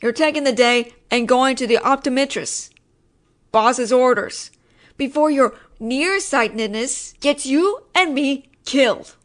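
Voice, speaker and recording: A woman performs a female mafia boss in an audio roleplay, her voice pitched on the high side. She talks in wry wiseguy slang and sighs at the incompetence around her. Underneath the exasperation she is apologetic and protective. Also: a little slow. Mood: exasperated. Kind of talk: roleplay audio drama monologue.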